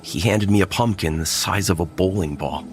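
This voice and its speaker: A man's voice: low-pitched, moderate pace, a little hiss or hum. A man narrating a tense first-person horror story. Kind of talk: horror audio drama narration. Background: music.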